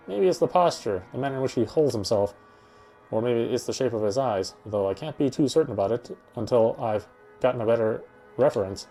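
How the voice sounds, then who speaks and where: Man dramatically narrating over clear game music. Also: mid-range voice, warm tone. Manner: dramatic first-person reading. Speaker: let's-player reading a game aloud. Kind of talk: expressive scripted narration of a horror visual novel.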